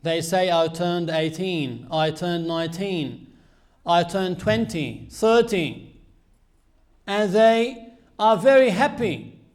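A man with a high voice delivers an earnest religious sermon. He speaks slowly and deliberately, pausing often between phrases as he teaches. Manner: measured, earnest teaching with frequent pauses. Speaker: Islamic preacher.

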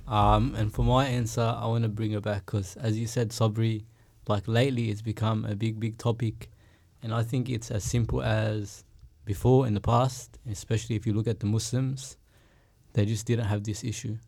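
A young man on a podcast panel who speaks earnestly and reflectively, at a steady pace. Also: on the low side, normal speed, no echo. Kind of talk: casual panel discussion.

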